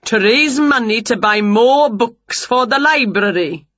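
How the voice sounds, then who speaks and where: A woman's voice: on the high side, expressive, unhurried, a bit bright, no room sound, muffled recording, pitched above the performer's own voice. A woman voicing a fussy, weary, worried male choir conductor in a children's storybook, flustered and hesitant. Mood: stern.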